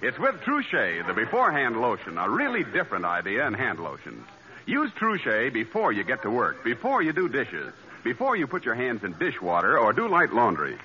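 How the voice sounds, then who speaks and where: A man's voice: mid-range voice, huge pitch swings, moderate pace, sharp tone. A man announcing in the style of a 1940s radio advertisement. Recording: dull vintage radio recording, faint music.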